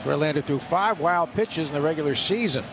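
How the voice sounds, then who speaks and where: A man's voice: on the high side, fairly quick, noisy background. A man adding short conversational comments on a live baseball radio broadcast.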